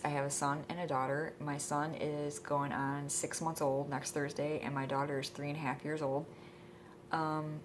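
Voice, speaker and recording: Late-20s woman, stuffed up with a cold, low voice, lots of um, bit of faint hiss behind. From Michigan. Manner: rambling, hesitant, full of ums. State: congested from a cold. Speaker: vlogger. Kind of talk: casual introduction vlog. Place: indoors at home.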